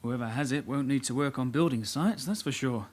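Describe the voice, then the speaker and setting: An actor playing a calm, persistent young detective constable with an English accent and a measured, dry manner in a radio drama. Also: male voice, medium pitch, close to an everyday voice. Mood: probing. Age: young adult.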